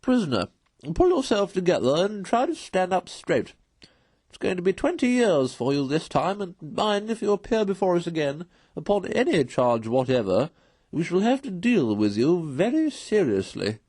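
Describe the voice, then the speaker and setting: A man's voice: high-pitched, very animated, normal speed, darkish tone, dry recording, pitched above the performer's own voice. A man voicing a cheerful, pompous, merciless court chairman in a storybook reading, declaiming with relish. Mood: approving, severe. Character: cheerful, pompous, merciless male magistrates' chairman passing sentence. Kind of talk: storybook character voice in a read-aloud.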